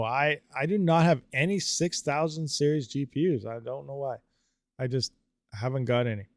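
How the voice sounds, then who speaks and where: A man hosts a live stream, his voice sitting a little higher than most men's and the sound dry, with no room around it. He rambles conversationally through viewer comments, leaning on fillers and easygoing asides. His throat sounds a bit dry. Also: darkish tone, no room sound. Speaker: livestream host.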